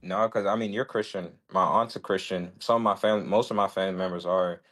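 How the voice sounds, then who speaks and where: A man from West Africa calling into a religious debate, calmly trying to finish his points. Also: deep voice, brisk. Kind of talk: casual debate call.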